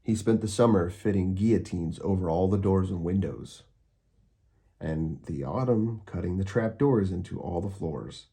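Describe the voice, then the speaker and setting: A man narrating a children's storybook aloud, expressively and with deliberate, dramatic emphasis. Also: sits low, dark tone.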